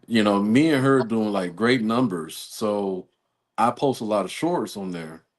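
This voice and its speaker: Low-voiced American man chatting casually. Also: a little slow. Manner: rambling, storytelling with quoted exchanges. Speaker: show host. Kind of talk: casual chat.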